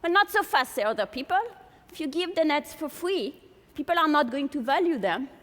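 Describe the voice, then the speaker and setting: A woman's voice: sits high, expressive, a little fast, a bit bright. A woman from France with a strong French accent, giving a public lecture to an audience in a large hall and posing many rhetorical questions. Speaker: speaker giving a talk to an audience. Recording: long hall reverb.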